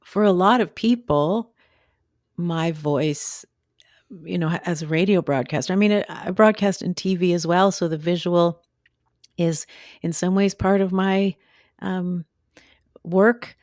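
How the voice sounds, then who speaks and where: Halting woman host with a strained voice. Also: on the low side, a little mellow, no echo, studio quality. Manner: thoughtful, halting, many restarts. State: voice limited and strained after surgery. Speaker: podcast and radio host. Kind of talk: conversational interview.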